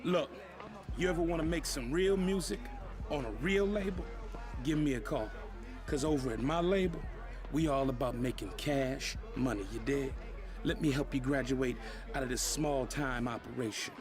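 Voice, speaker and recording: A man playing a street-wise, menacing record label power broker in a game cutscene, with an American accent and slangy, deliberate delivery. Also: medium pitch, close to an everyday voice. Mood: cocky, persuasive. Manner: slangy, deliberate, coolly threatening. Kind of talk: video game cutscene acting.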